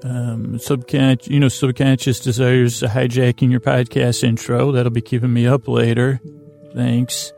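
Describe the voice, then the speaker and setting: A man delivers a soothing, meandering bedtime monologue as a podcast host. His creaky voice is soft-edged and stays within a very flat range. He stumbles and circles back in a halting, self-deprecating way. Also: mid-range voice, faint music behind.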